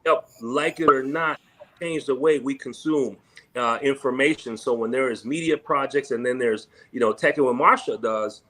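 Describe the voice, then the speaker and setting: A man moderating a panel, talking in an energetic, slangy, rambling way and dropping in Spanish phrases. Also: medium pitch, moderate pace.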